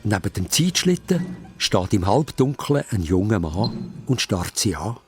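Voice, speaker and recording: A man's voice: lowish voice, big pitch swings, unhurried, a little mellow, breathy edge, no room sound. A man with a Swiss German accent narrating a children's story dramatically, in short, suspenseful phrases. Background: music.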